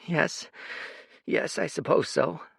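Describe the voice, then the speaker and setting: Man doing a grieving, strange desert boy, high and soft. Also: takes it slowly, vocal fry, breathy edge, no room sound, clean studio sound, heavily acted. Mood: sniffling. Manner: soft, trembling, wistful.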